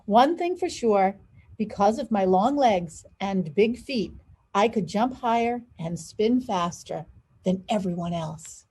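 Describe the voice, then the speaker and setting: A woman, a school superintendent, reading a children's picture book aloud with expressive, stressed storytelling phrases. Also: medium pitch, slow pace, slightly rough.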